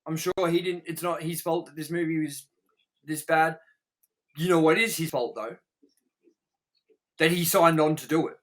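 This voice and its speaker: A man's voice: a little high, fairly even intonation, moderate pace, long pauses. A man co-hosting a movie review show, talking casually and emphatically in a profane, opinionated rant. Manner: ranting, emphatic, swears heavily.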